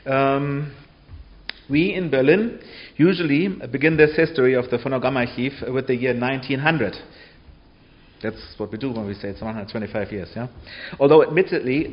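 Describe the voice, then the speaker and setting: A man giving an informal public lecture in a halting way, often pausing and checking in with short tags. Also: mid-range voice, muffled recording. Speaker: lecturer on sound archive history. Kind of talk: public talk with hesitant, informal delivery.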